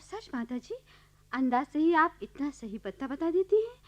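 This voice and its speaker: A woman playing an obliging, curious daughter-in-law and mother in a radio play, respectful and expressive. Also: sits high, normal speed. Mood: amazed, curious. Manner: respectful, easygoing, expressively surprised. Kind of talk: Hindi radio play acting. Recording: dull lo-fi archival radio recording.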